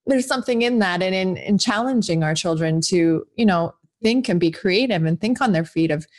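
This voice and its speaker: Woman in her 40s, kinda low voice, rambly chatty author on a podcast, lots of likes and restarts. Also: lively intonation, moderate pace. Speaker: author and guest. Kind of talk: casual podcast interview.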